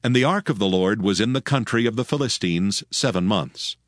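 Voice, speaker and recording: A man's voice: lowish voice, lively intonation, fairly quick, dry recording, clean studio sound. A man narrating a dramatized Bible reading in a solemn, formal storytelling manner. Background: background music.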